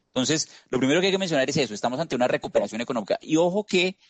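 A Colombian man giving a measured market analysis as an interview guest, with frequent "eh" fillers and restarts. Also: mid-range voice, lively intonation, dry recording. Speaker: investment strategist guest.

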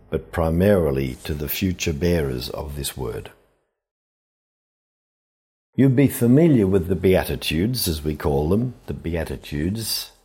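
A man giving a reflective scripture sermon as a priest, in a measured, deliberate, explanatory manner. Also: deep voice, mellow, slightly rough.

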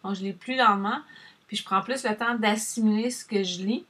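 A woman with a Québécois accent talks straight to the viewer in a loose, rambling chat. Her voice is a little husky and sits at a middle pitch. She wanders from point to point as she shares her advice. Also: brisk, a bit bright. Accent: Québécois French. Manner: chatty, rambling, addresses viewer directly.

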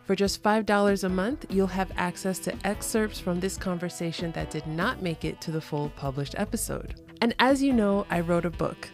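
A woman hosting a language podcast, reading her scripted introduction in an upbeat, clearly spoken way before she starts the interview. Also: on the low side, normal speed, few pauses, darkish tone, faint music behind. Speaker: podcast host.

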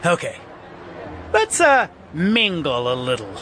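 A crooked, menacing police captain in a satirical radio drama. His voice is high and bright and swings widely as he blusters and bosses, putting on a folksy regular-guy front. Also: huge pitch swings, takes it slowly, a faint crowd, a little hiss or hum, heavily acted. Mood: scheming.

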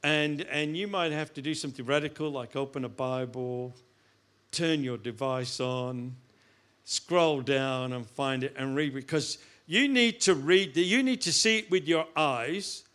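A middle-aged man preaching in a loose, talky way. His voice sits a little high for a man. He restarts phrases, repeats himself for emphasis and drops in self-mocking jokes between scripture readings. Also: measured pace, a bit bright. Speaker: pastor. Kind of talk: sermon with Bible reading.

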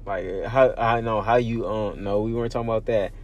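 A man's voice: lowish voice, fairly even intonation, muffled recording. Laid-back man in his twenties. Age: 20s. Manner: laid-back, slangy, quick to laugh. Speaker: podcast co-host. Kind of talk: casual chat.

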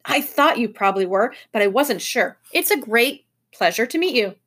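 A woman voicing a polite, brisk and patient male professor in a children's storybook, bright and decisive. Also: on the high side, animated, slightly rough.

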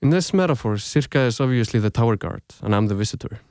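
A man's voice: mid-range voice, lively intonation, quick pace, darkish tone, no echo. Young Icelandic man, dry close podcast voice. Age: young adult. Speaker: podcast host. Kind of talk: scripted podcast narration.

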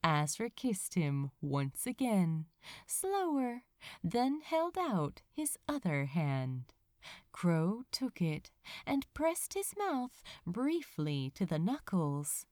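Very expressive, unhurried woman narrator. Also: fairly low pitch, no room sound, clean studio sound. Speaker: audiobook-style narrator of fan fiction. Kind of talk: expressive scripted story narration.

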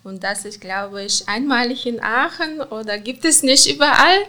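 Bright-voiced woman. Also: a little high. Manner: halting, many fillers and restarts, non-native grammar. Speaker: counselor at a women's support center. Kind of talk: casual interview talk.

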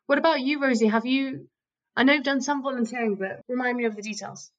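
A woman's voice: on the high side, fast, dull audio. A woman who speaks Farsi natively, co-hosting a podcast in a chatty, self-deprecating way. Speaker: podcast co-host. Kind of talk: casual podcast conversation.

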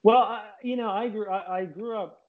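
A man's voice: sits high, fairly even intonation, moderate pace, phone-quality sound. A man in his late thirties, born in Lebanon, talking as an interview guest in a casual, rambling way, restarting and correcting himself. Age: late 30s. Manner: rambling, restarts mid-sentence, self-correcting.

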